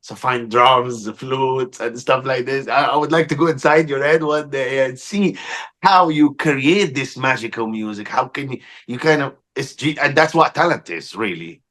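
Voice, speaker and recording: A man with an Egyptian accent interviewing a musician, enthusiastic and halting in his English. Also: medium pitch, a bit bright. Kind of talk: casual interview.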